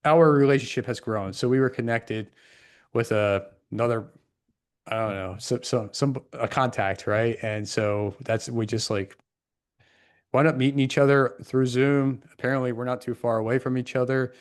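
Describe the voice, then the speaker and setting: A man's voice: mid-range voice, fairly even intonation, moderate pace, smooth. Rambling male podcast host. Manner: loose and rambling, many fillers. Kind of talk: casual podcast interview.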